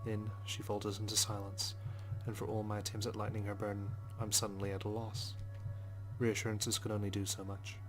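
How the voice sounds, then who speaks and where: A man reading as a brooding police detective who narrates a visual novel in a measured, solemn way. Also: lowish voice, monotone, lightly acted, rough recording. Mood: somber. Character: brooding, guilt-ridden male police detective narrating inwardly. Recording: music behind the voice.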